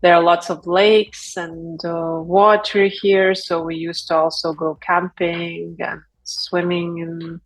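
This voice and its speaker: A woman from Lithuania speaking English, talking in a reflective, unhurried way with frequent fillers and soft laughs as an interview guest. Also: on the low side, level tone, rough recording. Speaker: guest, brand founder. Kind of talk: casual interview talk.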